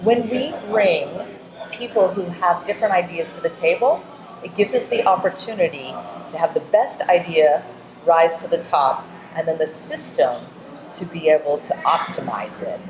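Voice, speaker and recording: Low-voiced woman hosting in an echoey room. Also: moderate pace. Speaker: show host and interviewer. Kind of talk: conversational interview hosting. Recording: roomy echo, busy crowd chatter. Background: crowd murmur.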